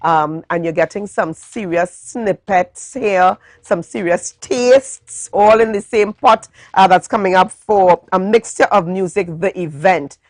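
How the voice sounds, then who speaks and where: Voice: medium pitch, measured pace, hardly any pauses, dry recording, studio quality. An easygoing morning show host who laughs often and talks to viewers. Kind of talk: casual interview.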